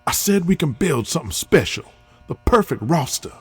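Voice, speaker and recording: Man acting a husky, animated wrestling booker over music. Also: fairly high pitch, moderate pace, pitched above the performer's own voice, full character voice. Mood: rallying. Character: brash, persuasive wrestling booker and star with big plans. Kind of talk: audio drama dialogue. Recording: music bed under the voice.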